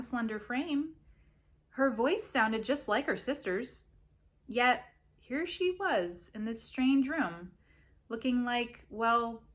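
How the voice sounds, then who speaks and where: A woman reading a story aloud as an expressive narrator. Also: a little high. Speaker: reader of a story.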